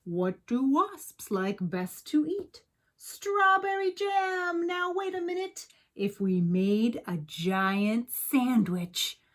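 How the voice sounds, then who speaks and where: A woman's voice: high voice, animated, slow pace, pitched above the performer's own voice. Woman playing an eager, emphatic storybook baker. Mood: excited. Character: eager village baker with a big idea. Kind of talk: storybook character voice.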